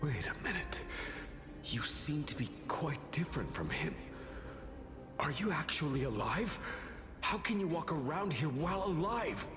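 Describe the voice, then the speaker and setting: A man voicing a nervous, polite, excitable ghostly soul in a video game. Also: fairly high pitch, a little slow. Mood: astonished. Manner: jittery, excitable. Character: nervous, polite male ghost soul guarding a plundered vault. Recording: echoey, with game music behind.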